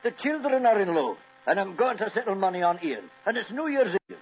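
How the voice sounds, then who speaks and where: A hearty old Scottish grandfather of the landed gentry, pitched high and swinging widely in tone. He exclaims his way through an old radio play on a dull vintage recording. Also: big pitch swings, fairly quick, vocal fry, a little hiss or hum, heavily acted. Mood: jovial, hearty. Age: older. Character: jovial old Scottish baronet, hearty grandfather, excitable host. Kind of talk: old-time radio drama acting.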